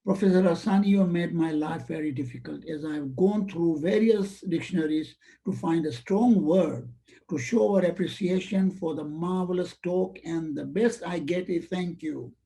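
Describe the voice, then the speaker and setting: A man whose first language is Swahili delivers a formal vote of thanks in a ceremonious manner. His voice is strikingly bright and sits a little high for a man. He speaks over a webinar call. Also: moderate pace, gravelly, poor audio. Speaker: vice chair giving vote of thanks.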